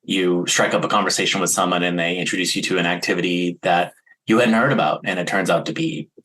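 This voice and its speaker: A man's voice: low voice, fairly even intonation, quick pace, a bit bright. A man hosting an interview, curious and recapping his guest's book. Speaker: interviewer host. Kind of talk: conversational interview.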